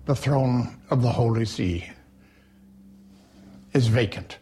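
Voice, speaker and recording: An actor playing a ceremonial churchman in a film drama, making formal, solemn and deliberate declarations. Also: medium pitch, natural voice. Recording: film clip with clear music. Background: film music.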